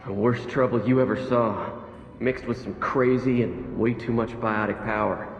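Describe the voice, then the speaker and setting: A man's voice: lowish voice, fairly even intonation, normal speed, lightly acted. A man playing a jaded, streetwise prison inmate in a video game, slangy, blunt and matter-of-fact. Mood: jaded, resigned. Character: jaded streetwise male convict, rough and resigned. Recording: echoey, muffled, faint music.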